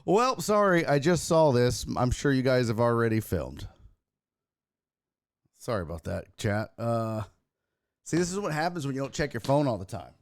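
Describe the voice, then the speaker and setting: Hoarse, raspy-voiced man hosting a stream. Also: medium pitch, sing-song, moderate pace, long pauses, no room sound. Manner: crude, self-mocking banter with chat. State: hoarse, losing his voice. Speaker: host. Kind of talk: casual livestream sports talk.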